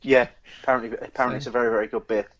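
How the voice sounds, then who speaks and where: A man's voice: mid-range voice, flat delivery, rapid-fire, fairly bright tone, no room sound, muffled recording. A British man co-hosting a podcast, talking in a casual, chatty style about what is in front of him. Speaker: podcast co-host reading news. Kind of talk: casual beer podcast chat.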